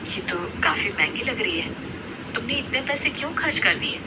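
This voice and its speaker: A woman playing a restrained, modest elder sister-in-law from a poor family in a moral story, plain-spoken and measured. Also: a little high, big pitch swings, very noisy. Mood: surprised, hesitant. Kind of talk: Hindi moral story acting.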